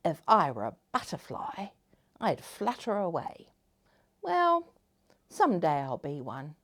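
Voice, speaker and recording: A woman voicing a lazy, grumbling male caterpillar from a children's storybook, with drawn-out, theatrical complaining. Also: lowish voice, wildly swooping pitch, full character voice. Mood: grumbling, wistful.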